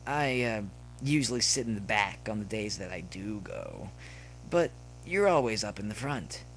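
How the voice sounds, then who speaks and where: A man's voice: fairly low pitch, sing-song, normal speed, a little hiss or hum, low-quality recording. A man voicing a friendly, upbeat young male student pony in a story reading, casual and inquisitive. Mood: friendly, curious. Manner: casual, inquisitive, slightly hesitant.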